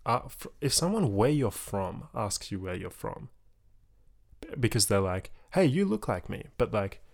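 A man's voice: on the low side, sing-song, a little slow, gravelly. A young man with an Australian accent, hosting a casual talk in an earnest, rambling way. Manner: earnest, rambling, heavy use of like. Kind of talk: casual conversation.

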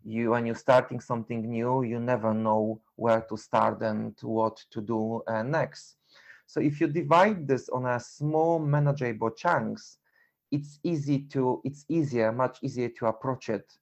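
Gravelly middle-aged Polish-accented man. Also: mid-range voice. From Poland. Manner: halting, repeats words, non-native phrasing. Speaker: interview guest, endurance runner and coach. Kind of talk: reflective personal interview.

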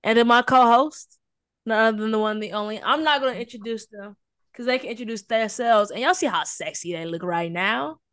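A woman's voice: fairly high pitch, brisk, a bit bright, no echo, studio quality. A young Southern woman from Houston, co-hosting a casual podcast in a playful, teasing way. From Houston, Texas. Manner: playful, teasing, hypes up her co-host. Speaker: podcast co-host.

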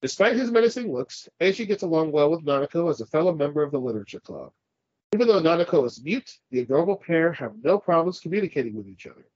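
A man's voice: fairly high pitch, big pitch swings, fast, darkish tone, slightly rough, no echo, lo-fi sound. A man from California co-hosting a podcast, congested, rambling and swearing casually as he chats. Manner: profane, rambling, laughs often. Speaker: podcast co-host. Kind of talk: casual chat.

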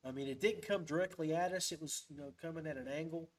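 A man's voice: a little high, fast talker. A man hosting a podcast, chatting casually and telling stories in a folksy, rambling way. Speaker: podcast host. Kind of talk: casual fishing podcast chat.

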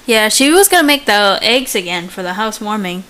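A woman's voice: medium pitch, lively intonation, fairly bright tone. A woman co-hosting a podcast in casual chat, teasing the others and laughing often. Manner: teasing, quick laughs, retells stories in voices. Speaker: podcast co-host.

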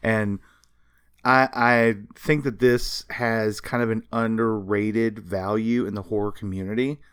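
Guy podcast cohost w a lowish voice, chatting casually, keen nerdy vibe, really dry recording. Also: fairly even intonation, unhurried, a bit bright, clean tone, no echo. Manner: enthusiastic self-described history nerd. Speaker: podcast co-host. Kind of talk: casual chat.

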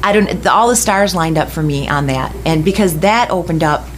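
A woman's voice: low voice, moderate pace, a little hiss or hum. A middle-aged woman from West Michigan, a radio host telling a long story in casual chat, often laughing and restarting her sentences.

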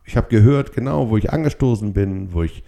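Man, middle-aged or older, very deep pitch, dark soft tone, reflective podcast monologue, halting with brief stuttering blocks. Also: lively intonation, creaky. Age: 50s or older. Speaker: podcast host.

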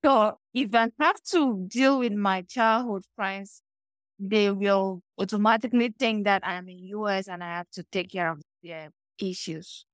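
A middle-aged woman from Cameroon with a Cameroonian accent, talking as an interview guest and telling stories with animated acted-out dialogue. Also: mid-range voice, unhurried, clear, resonant tone, no room sound. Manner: animated storytelling, acts out quoted dialogue. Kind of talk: conversational interview.

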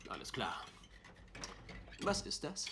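A man's voice: fairly low pitch, wildly swooping pitch, slow pace, creaky, noisy background, heavily acted. A man playing an eccentric, cheeky, self-assured private detective in a whimsical, theatrical dubbed TV drama style. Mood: curious, breezy. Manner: whimsical, theatrical, swings from grand to offhand. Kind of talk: dubbed TV comedy-mystery drama acting.

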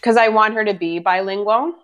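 A woman in her thirties with a light Brazilian Portuguese accent, talking casually as an interviewee, full of "like" fillers and quick to laugh. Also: medium pitch, muffled recording, over music. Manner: chatty, many "like" fillers, laughs easily.

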